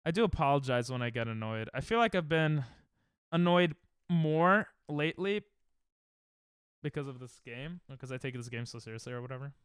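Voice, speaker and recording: A man's voice: mid-range voice, animated, moderate pace, a bit bright, clean tone, dry recording, studio quality. A man streaming a game, chatting casually and sarcastically with his viewers. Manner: sarcastic, joking banter with chat. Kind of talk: casual live-stream chat while gaming.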